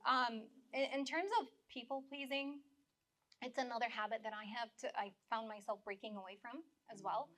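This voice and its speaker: A woman's voice: fairly high pitch, fairly even intonation, moderate pace, a bit bright. A woman speaking on a live panel, earnest and reflective, her mouth dry and her voice a little worn. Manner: earnest, reflective, many restarts. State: dry mouth, slightly losing voice.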